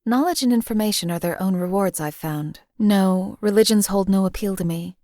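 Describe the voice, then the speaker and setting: A woman voicing a cold-blooded female assassin companion in a game, flat, clipped and detached. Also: mid-range voice, moderate pace, dry recording, clean studio sound, lightly acted. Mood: lecturing.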